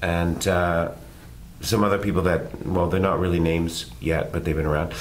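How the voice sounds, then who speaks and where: A middle-aged man from Canada, a guest actor talking in a relaxed, self-deprecating and rambling way in a casual interview. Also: low-pitched, moderate pace, slight background noise.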